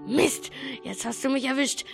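A woman's voice: high voice, expressive, moderate pace, vocal fry, soft background music. A young female performer playing a menacing, taunting bully villain in a children's radio play. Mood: threatening.

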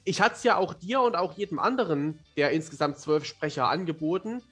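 A young man with a high-pitched voice, talking as a podcast guest. He tells stories casually in long, articulate, playful sentences, with faint music in the background. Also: brisk, fairly bright tone, slightly rough. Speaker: podcast guest, fantasy author. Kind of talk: casual, talkative storytelling.

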